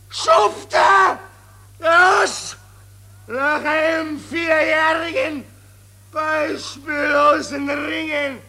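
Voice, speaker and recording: An actor playing a hysterical, bitter Austrian army officer in a theatrical radio drama, shouting and sputtering in halting repetitions. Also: male voice, very high-pitched, exaggerated voice. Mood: frantic outrage.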